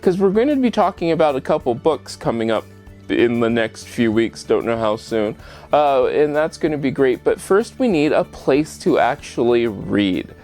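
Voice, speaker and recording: A man's voice: mid-range voice, big pitch swings, normal speed, darkish tone, soft background music. A man hosting a casual how-to home vlog, chatting straight to the viewer and posing rhetorical questions. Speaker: home show host. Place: bedroom at home.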